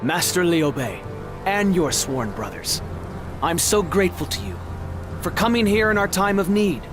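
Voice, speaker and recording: An actor playing a humble, anxious old governor of ancient China in a video game, formal and pleading. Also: male voice, high-pitched, lively intonation, moderate pace. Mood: grateful. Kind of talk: video game dramatic acting. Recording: voice under loud music.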